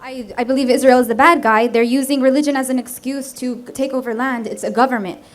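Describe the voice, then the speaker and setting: A woman's voice: high-pitched, steady tone. A young woman, a college student, arguing defensively in a campus debate and tripping over her words. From United States. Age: young adult. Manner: defensive, hurried, stumbling.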